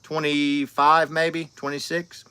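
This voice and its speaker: A man's voice: fairly high pitch, level tone, slow, sharp tone, clear, resonant tone, dry recording. A man, chatting casually on a livestream and reading out comments, rambling and friendly with lots of fillers and restarts. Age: 30s. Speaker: livestream host.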